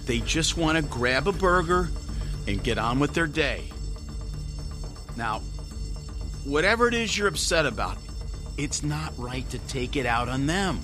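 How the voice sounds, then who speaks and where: Higher-voiced, earnest crisis negotiator. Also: male voice, lively intonation, over music. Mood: tense, soothing. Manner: placating, careful, pausing. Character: earnest negotiator calming an armed man. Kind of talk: radio drama acting.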